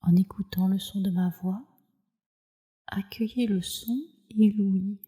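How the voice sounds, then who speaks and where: Slow, very dark-voiced woman guiding meditation. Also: mid-range voice, steady tone. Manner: soothing, soft, measured delivery with breathy phrase endings. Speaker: yoga nidra teacher, meditation guide. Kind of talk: guided relaxation meditation.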